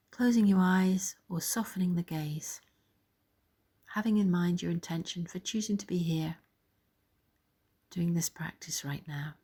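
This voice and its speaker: A woman leading a guided body scan meditation in a soft, gentle, soothing way, pausing between phrases. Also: fairly low pitch, slightly rough.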